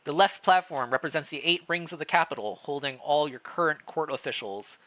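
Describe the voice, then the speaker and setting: A man's voice: a little high, a little fast, sharp tone. A man narrating fan fiction expressively as a streamer, mixed with casual chat. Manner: stumbles over words while reading aloud, self-deprecating.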